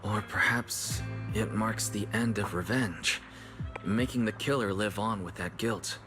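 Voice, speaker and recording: A man's voice: on the low side, a little slow, music in the background, natural voice. An actor playing a serious, thoughtful young man in a fantasy game, with an earnest, reflective delivery. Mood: somber. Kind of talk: dramatic game voice acting.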